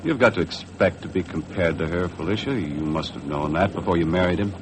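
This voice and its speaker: Through clearly noisy vintage radio sound comes a man acting a laconic American skipper in an old adventure serial. His voice is low, and his delivery is dry and understated. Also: a little fast, natural voice. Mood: frank, sympathetic. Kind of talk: 1950s American radio drama acting and narration.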